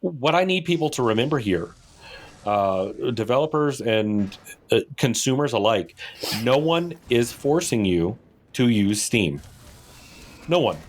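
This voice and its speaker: A man's voice: mid-range voice, lively intonation, a little slow. A man with an American accent in casual podcast discussion, explaining with emphasis and rhetorical questions. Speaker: podcast co-host.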